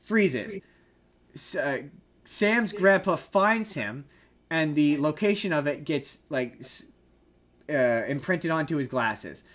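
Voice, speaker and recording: Male podcast co-host, high voice, casual but emphatic explainer who rambles and argues his point. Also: lively intonation, takes it slowly, fairly bright tone. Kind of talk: casual opinionated film discussion.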